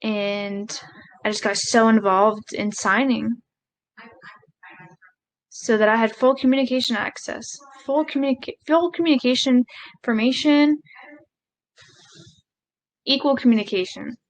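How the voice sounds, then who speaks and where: A woman voicing a live sign language interpretation of a speech, halting, with pauses and self-corrections. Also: fairly high pitch, rough recording.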